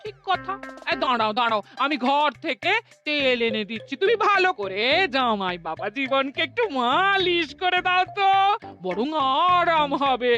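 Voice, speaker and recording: A woman's voice: high voice, big pitch swings, moderate pace, pushed and bright, dull audio, exaggerated voice. A woman voicing a doting, bossy mother-in-law in a cartoon, coaxing and scolding, with music behind her. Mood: bossy, fussing. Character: doting, bossy middle-aged mother-in-law and nagging wife. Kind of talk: cartoon voice acting.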